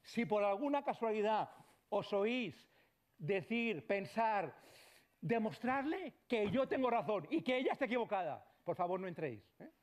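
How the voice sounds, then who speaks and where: High-voiced man giving a chatty lecture. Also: big pitch swings, normal speed. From Barcelona, Spain. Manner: conversational, frequent tag questions, trails off quietly. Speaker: psychologist giving a talk. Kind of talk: conference lecture to doctors. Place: lecture hall.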